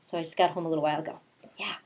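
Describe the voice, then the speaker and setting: A woman's voice: low voice, fairly even intonation, very fast, darkish tone, low-quality recording. A woman kindergarten teacher doing story time for young children, talking to them directly in a conversational way. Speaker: kindergarten teacher reading a picture book aloud. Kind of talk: story time for young children, read-aloud mixed with casual chat.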